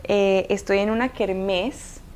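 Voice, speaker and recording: A woman's voice: medium pitch, fairly even intonation, slow, a little mellow, slight background noise. A woman in her thirties with a mixed Cuban and Colombian accent, telling her life story as an interview guest, hesitant with many fillers and restarts. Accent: mixed Cuban and Colombian Spanish. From Cuba.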